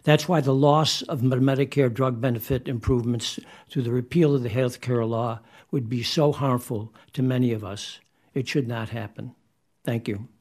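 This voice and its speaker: A man's voice: mid-range voice, fairly even intonation, moderate pace, darkish tone. An older man reading testimony at a hearing, hesitant with fillers and restarts. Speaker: hearing witness.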